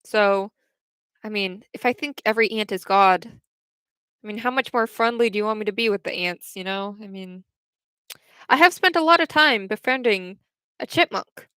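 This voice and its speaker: A woman's voice: mid-range voice, moderate pace, sharp tone, clear, resonant tone, no echo. A young woman casually chatting on a livestream, answering viewers' questions in a rambling way full of restarts and fillers. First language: English.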